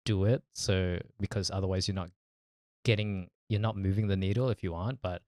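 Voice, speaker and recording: Dude on a podcast, lowish voice, just chatting, dry close sound. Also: male voice, steady tone, brisk, darkish tone, no room sound. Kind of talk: casual podcast chat.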